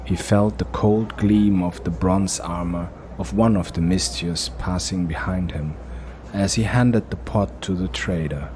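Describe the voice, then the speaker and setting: Deep, slow male drama narrator. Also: background noise. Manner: measured, unhurried storytelling. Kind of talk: scripted audio drama narration.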